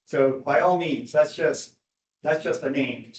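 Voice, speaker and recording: A man presenting at a public meeting with a loud voice and a joking manner. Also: fairly high pitch, measured pace. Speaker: traffic safety presenter. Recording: dull, echoey meeting room audio.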